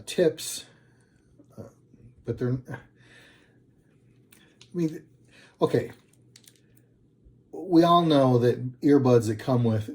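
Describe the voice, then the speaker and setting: Slow-talking man, mellow voice, reviewing casually. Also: medium pitch. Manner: hesitant, halting, self-correcting. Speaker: product reviewer, channel host. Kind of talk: casual unscripted product review.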